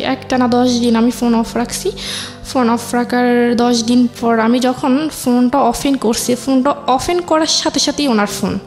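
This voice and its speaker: A woman's voice: fairly high pitch, darkish tone. A woman, a guest on a show, telling a personal story and recounting conversations as she goes. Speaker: interview guest. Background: background music.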